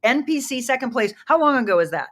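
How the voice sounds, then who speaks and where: A woman hosting a morning talk show, speaking with a Midwestern American accent in a lively, emphatic way. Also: high-pitched, quick pace. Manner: lively, emphatic, reads ads smoothly.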